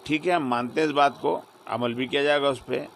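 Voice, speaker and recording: Male interviewee, mid pitch, slow and pausing, a little off-mic with faint noise. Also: fairly even intonation, vocal fry. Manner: slow, listing his words with pauses. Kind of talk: street interview.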